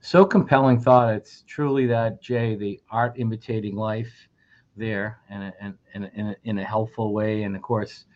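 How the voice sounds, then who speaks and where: Brief: male radio co-host, low voice, upbeat, muffled lo-fi sound. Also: fairly even intonation, moderate pace, darkish tone. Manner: enthusiastic, repeats words when starting. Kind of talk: radio interview. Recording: dull lo-fi radio audio.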